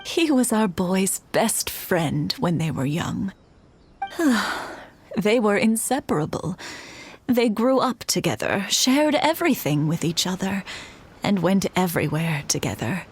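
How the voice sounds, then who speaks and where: Slow-talking, gentle elderly mother. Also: medium pitch, animated, husky. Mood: wistful, resigned. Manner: gentle, wistful, sighing. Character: gentle, wistful elderly mother, resigned and caring. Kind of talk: video game voice acting.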